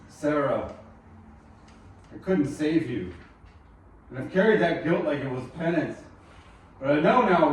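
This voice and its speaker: A man's voice: a little high, very echoey. Grieving, resolute hero, played by a man: earnest dramatic read, distant mic, faint noise. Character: broken, defiant musician hero Beric, grieving. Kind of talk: dramatic table read of a fantasy film script.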